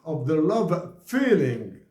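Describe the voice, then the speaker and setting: A man from Algeria giving an impassioned political address to officials, speaking slowly and deliberately with frequent restarts. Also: fairly high pitch, big pitch swings, mellow, roomy sound.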